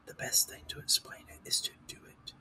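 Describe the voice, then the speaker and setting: A man voicing a solemn, long-winded, touchy dodo in a storybook, in a ponderous breathy whisper. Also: normal speed, bright, forceful tone, far from the mic. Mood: explaining. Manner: pompous, ponderous.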